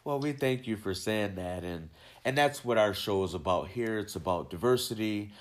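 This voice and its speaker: A podcast host with a fairly low male voice. He talks in a halting way, filling his sentences with uhs and ums as he introduces his guest and sets out what the show stands for. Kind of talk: interview introduction and questions.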